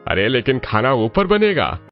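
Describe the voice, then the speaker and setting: Actor as lively older father-in-law, dull audio. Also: medium pitch, normal speed. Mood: demanding, pleased. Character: older father-in-law, demanding, fond of comfort. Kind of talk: cartoon story dialogue.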